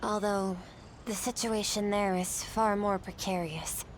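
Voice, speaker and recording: A woman's voice: mid-range voice, fairly even intonation, unhurried, a little hiss or hum, close to an everyday voice, poor audio. A woman voicing an earnest, gracious young noblewoman in a video game cutscene, speaking in a formal, articulate way. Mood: gracious, friendly. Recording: music behind the voice.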